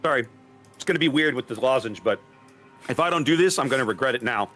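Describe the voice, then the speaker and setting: Man, from Texas, co-caster, loud voice, casual, faint music behind. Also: mid-range voice, animated, fast. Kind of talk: casual stream chat.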